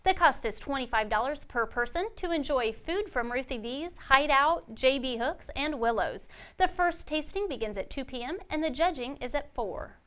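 High-voiced young woman reading news. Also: expressive, normal speed, hardly any pauses, a bit bright. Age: young adult. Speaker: news anchor. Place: studio.

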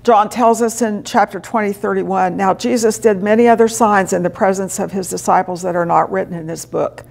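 A woman in her sixties, a pastor, preaching a sermon in slow, deliberate phrases with emphatic pauses, recorded in a small chapel. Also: mid-range voice, steady tone, gravelly, a little mellow. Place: small chapel in front of a stone wall.

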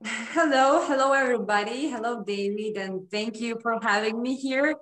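A woman's voice: fairly high pitch, moderate pace, fairly bright tone, roomy sound, poor audio. A woman from Ukraine, a guest in an interview who speaks earnestly with many hesitations. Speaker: program coordinator, guest. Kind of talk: casual video interview.

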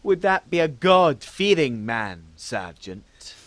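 A lord's manservant in an audio drama, formal and smugly polite. His fairly high voice is unhurried, with faint noise behind. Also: very animated.

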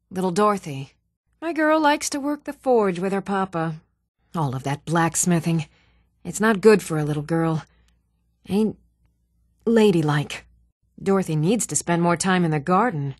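A composed, earnest woman of a fantasy realm, acted by a woman for a video game. Her delivery is measured and restrained, at a middling pitch. The recording is dry and close, with no room on it. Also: no echo, natural voice. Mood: plain, wistful. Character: even-toned adult woman of a fantasy world, composed and earnest. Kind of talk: scripted video game character dialogue.

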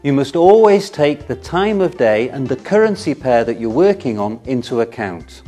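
Male presenter, lively swooping intonation, average pitch, emphatic key words, scripted educational narration, light music underneath. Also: normal speed.

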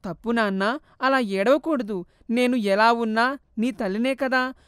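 A gentle, sorrowful mother's ghost speaks softly to her son, as a woman puts her on. Her voice is high and tender, recorded dry and close, as in a storybook cartoon. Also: measured pace, clear, resonant tone, no echo. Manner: soft, tender, tearful. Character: poor loving mother, gentle sad ghost.

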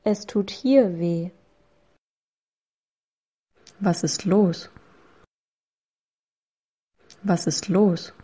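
A woman reading short phrases slowly and clearly, saying each one twice for learners. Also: mid-range voice, steady tone, dark tone. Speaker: language lesson voice. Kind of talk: slow repeated phrase reading.

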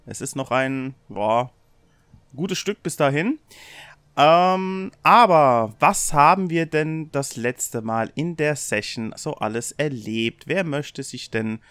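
A man's voice: a little high, lively intonation, slow, a bit bright, clear, resonant tone, no room sound. A man casually hosting and game-mastering an online tabletop role-playing stream.

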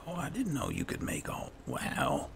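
A man's voice: mid-range voice, wildly swooping pitch, measured pace, creaky, slight background noise. A man giving casual roleplay commentary over a video game, musing and thinking aloud. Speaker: gaming video host.

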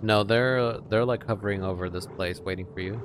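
A man's voice: fairly even intonation, a little fast. A man in casual let's-play chat, guiding another player through a video game. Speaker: gaming commentator guiding a player. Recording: game music under the voices. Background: video game music and rumble.

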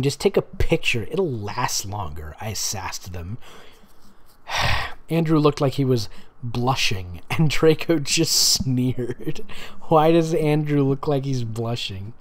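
A man reading fiction aloud in an amused, sarcastic way, with casual mocking asides. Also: mid-range voice. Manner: amused, sarcastic, breaks off to comment. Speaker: host reading fan fiction aloud with commentary.

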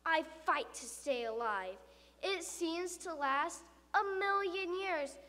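Woman as a dramatic schoolkid, bright and high. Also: slow pace, exaggerated voice. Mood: panicked, doomed. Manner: dramatic, expressive storytelling. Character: dramatic, frightened school pupil telling a tall tale. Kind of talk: rhyming comic monologue, theatrically recited. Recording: reverberant hall.

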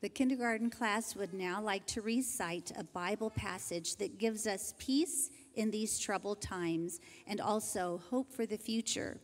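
An older woman teacher reading graduation introductions into a handheld microphone, in a gentle, measured way. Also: medium pitch, faint music behind. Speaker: kindergarten teacher. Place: large church sanctuary. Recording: hall reverb from a church PA.

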